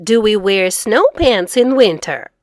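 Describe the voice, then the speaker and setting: A middle-aged woman reading aloud to children as an English teacher, enunciating each phrase clearly. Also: medium pitch, expressive, a little slow, dry recording, studio quality. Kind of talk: children's read-aloud lesson.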